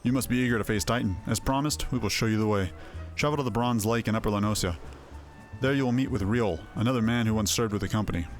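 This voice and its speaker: A man's voice: on the low side, fast talker, faint music behind, close to an everyday voice. Man playing a businesslike fantasy quest-giver. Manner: formal, instructive. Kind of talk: voiced game dialogue.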